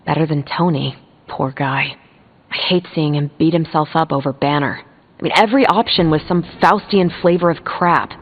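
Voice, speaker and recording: A woman's voice: low voice, moderate pace, phone-quality sound. Frank superhero woman in a reverberant hall. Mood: troubled, sympathetic. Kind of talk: video game voice acting.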